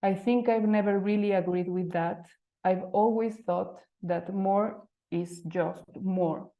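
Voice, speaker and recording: A woman's voice: medium pitch, fairly even intonation, takes it slowly, narrow, tinny audio. A woman in her thirties from Mexico, a Spanish speaker with a Mexican accent, giving a conference talk in English about her design work, searching for words with fillers and restarts. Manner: hesitant, frequent fillers and restarts, non-native phrasing. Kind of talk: conference presentation. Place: lecture venue.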